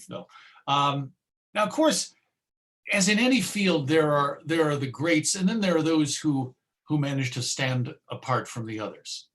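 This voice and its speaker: An American man presenting a conversational history lecture, chatty and given to wry asides. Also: mid-range voice.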